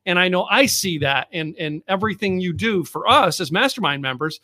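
High bright-voiced guy hosting a chat, keeps saying you know, restarts a lot. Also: animated, fairly quick. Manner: rambling, repeats words, lots of "you know". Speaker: podcast host. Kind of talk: casual interview chat.

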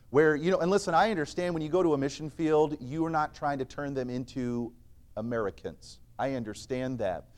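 A man preaching a sermon in a church, earnest and emphatic, swinging between loud and soft, and reciting scripture in old-fashioned wording. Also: fairly high pitch.

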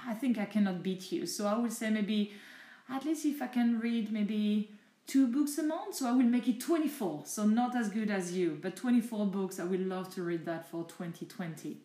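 A middle-aged woman from France with a French accent, speaking English rather than her native French, giving chatty, thoughtful answers with searching pauses in a casual home interview. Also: mid-range voice, warm tone, echoey room. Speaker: interviewee, mother of the host.

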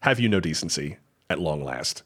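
A man's voice: a bass voice, animated, normal speed, a bit bright, breathy edge. A man hosting news commentary, talking in a conversational, skeptical way with wry asides.

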